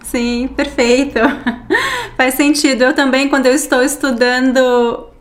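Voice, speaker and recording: Higher-voiced woman hosting an interview. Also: measured pace. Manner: friendly, asks questions with easy laughs. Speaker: host, Portuguese teacher. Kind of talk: casual interview chat.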